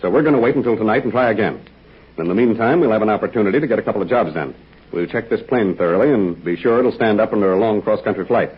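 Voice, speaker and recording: Calm hero pilot, husky low voice, talks quick, old dull radio sound. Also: male voice. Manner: reassuring, deliberate, explains patiently. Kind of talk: 1940s radio acting. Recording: dull 1940s radio transcription.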